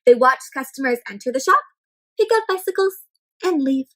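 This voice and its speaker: A woman reading a picture book aloud to young children, animatedly addressing them with questions and pauses as she goes. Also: sits high, expressive. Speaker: children's read-aloud storyteller.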